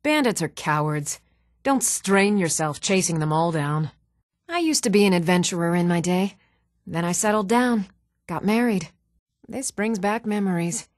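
A woman's voice: fairly low pitch, animated, moderate pace, no echo, clean studio sound, lightly acted. A woman playing a seasoned, plucky former adventurer in a fantasy video game, frank and eager. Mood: eager, nostalgic.

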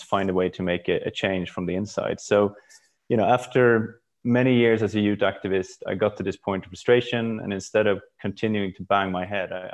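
Low-voiced man, reflective podcast guest. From Stockholm, Sweden. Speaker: podcast guest, brand executive. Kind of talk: casual interview talk.

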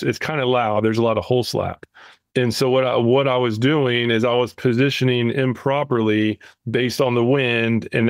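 Mid-pitched man, halting casual podcast talk. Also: no echo. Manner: halting, repeats words as he explains. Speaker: podcast co-host.

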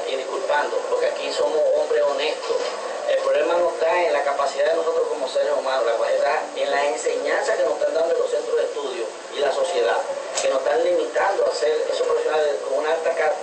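A middle-aged man from the Dominican Republic with a Dominican Spanish accent, earnestly explaining as an interview guest, often restarting and repeating words. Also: a little high. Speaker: interview guest, civic educator. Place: indoor TV set at a table. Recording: echoey, distant, noisy local TV audio.

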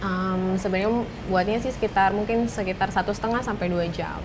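A woman's voice: mid-range voice, steady tone, fairly quick, over a noisy crowd, low-quality recording. A woman in her forties, a guest who bakes, giving brief plain answers in a studio chat. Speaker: guest, baker. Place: TV studio set at a table.

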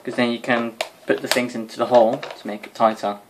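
A man's voice: medium pitch, steady tone, a little fast. A young man giving a casual, rambling toy demonstration to camera, sounding tired, recorded on a mobile in a bedroom. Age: young adult. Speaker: vlogger. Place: small bedroom. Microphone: phone or camera at arm's length. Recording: faint noise, clicks, mobile recording.

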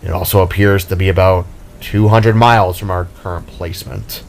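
Man as a measured, analytical spark technician. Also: sits low, steady tone, gravelly. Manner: explanatory, measured. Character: large analytical spark, upbeat team technician. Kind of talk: dramatic story character reading.

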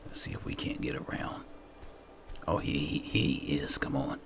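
Very deep, gravelly man playing a game. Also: moderate pace, a bit bright. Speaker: gaming channel host. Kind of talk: roleplay gameplay commentary. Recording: clear background game noise.

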